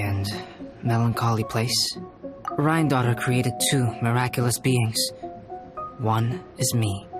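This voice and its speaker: A calm, scholarly young male alchemist from a video game, played by a man speaks with measured formality. His voice is reflective and composed, of middling pitch, over clearly audible music. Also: close to an everyday voice. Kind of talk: video game character dialogue.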